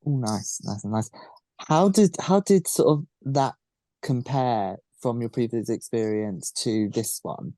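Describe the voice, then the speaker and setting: A man hosting a casual podcast interview, halting as he searches for his words. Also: medium pitch, mellow, no room sound. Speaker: podcast host.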